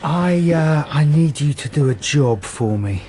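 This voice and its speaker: An actor playing an earnest, uncertain police detective in a radio drama, hesitant and understated. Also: male voice, a little high, lively intonation, unhurried, mellow, slight background noise. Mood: matter-of-fact.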